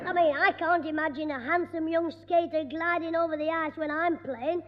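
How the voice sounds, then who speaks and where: A man playing a cheeky, scheming schoolboy in a 1960s radio sitcom, quick with a retort and stammering when caught out. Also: very high voice, fairly even intonation. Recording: dull old radio broadcast with long reverb.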